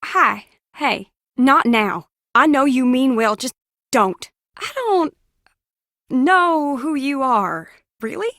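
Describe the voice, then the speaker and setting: Troubled, high-voiced young woman. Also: very animated, slow pace, fairly bright tone, no echo, studio quality, full character voice. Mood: flustered, weary. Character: troubled, defensive young woman in hiding, guilt-ridden and pleading. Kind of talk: video game character acting.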